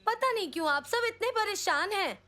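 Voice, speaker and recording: A very high, childlike voice of an excitable little cartoon boy. He pleads earnestly, with a soft spot for animals. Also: moderate pace, over loud music. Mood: pleading. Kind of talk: animated children's comedy dub.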